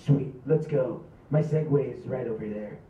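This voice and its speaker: A man's voice: mid-range voice, normal speed, dull audio, slight background noise, natural voice. Cocky, clueless magician dad, male reader, comedy table read, roomy phone-thin sound. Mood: casual, needy. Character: cocky, self-deluded amateur magician and hapless father. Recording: distant, echoey, phone-thin recording.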